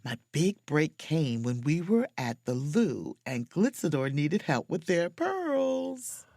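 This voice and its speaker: A woman's voice: deep voice, big pitch swings, slow pace, vocal fry, a little mellow, no echo, studio quality, exaggerated voice. A woman acting a proud, self-dramatizing chief mate who narrates an audio drama as a wry diary. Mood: wry, confiding. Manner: theatrical, wry, emphatic.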